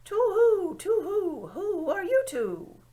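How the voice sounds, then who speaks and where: Woman putting on a hooting high-voiced owl. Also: big pitch swings, warm tone, exaggerated voice. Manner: hooting, drawn-out and fluttery. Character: fussy sleepy male owl, big and short-sighted. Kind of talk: audiobook reading.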